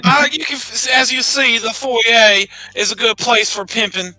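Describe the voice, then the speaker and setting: A man's voice: very high voice, moderate pace, sharp tone, dry recording, rough recording. A man playing a tabletop game, joking around in casual banter.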